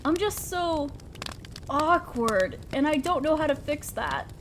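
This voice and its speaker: A woman's voice: high voice, big pitch swings, slow, a little hiss or hum, full character voice. A woman playing a shy, awkward, kind young neighbor in an intimate roleplay audio drama, speaking hesitantly and apt to ramble self-consciously. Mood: awkward, apologetic. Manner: hesitant, soft-spoken, rambling, self-deprecating. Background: outdoor fire ambience.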